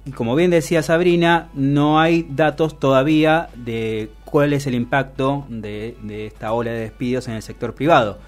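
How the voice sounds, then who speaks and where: A man with an Argentine accent hosting a radio show, commenting on the news in a halting, emphatic way with self-corrections. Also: mid-range voice, fairly even intonation, soft background music. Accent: Argentine Spanish. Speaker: radio host. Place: radio studio.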